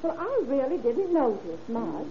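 An actress playing a busy, dismissive housewife neighbor in an old-time radio drama, on a muffled, hissy recording. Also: female voice, sits high, big pitch swings, unhurried, exaggerated voice. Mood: offhand, dismissive. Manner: dismissive, offhand. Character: busy, offhand housewife neighbor, unhelpful to police.

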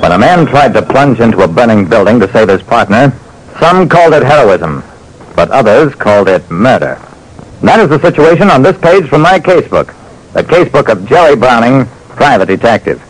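A man's voice: mid-range voice, big pitch swings, husky. A man playing a hard-boiled private detective, narrating his cases dramatically in an old-time radio show. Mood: dramatic narration. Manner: dramatic, deliberate. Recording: noisy old radio recording.

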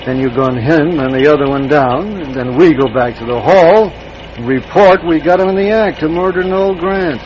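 An actor playing a ruthless, scheming Old West gang boss in a radio drama, American, terse and commanding. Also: male voice, on the high side, normal speed, darkish tone, natural voice. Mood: urgent, menacing. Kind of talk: old-time radio western acting. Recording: muffled, very noisy vintage radio recording.

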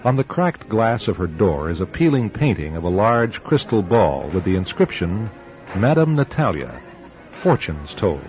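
Casting: low, solemn radio drama narrator, deliberate and atmospheric, music underneath, muffled vintage sound. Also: male voice, very animated. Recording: muffled old radio recording. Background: prominent music.

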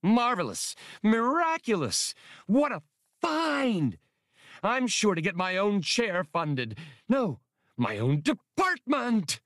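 A man's voice: high voice, wildly swooping pitch, takes it slowly, fairly bright tone, no room sound, studio quality, voice pushed up, heavily acted. A man voicing an eager, fussy male alien academic with precise scholarly phrasing in an audiobook. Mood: ecstatic.

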